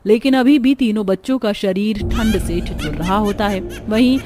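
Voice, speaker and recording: A woman's voice: medium pitch, moderate pace, over music. A woman narrating a cartoon story in a dramatic scripted style.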